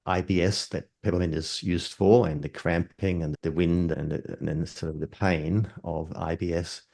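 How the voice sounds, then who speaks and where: An older man from Denmark, a herbalist calmly explaining remedies in an interview, defining terms as he goes. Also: low-pitched, creaky. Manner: measured, explains terms step by step.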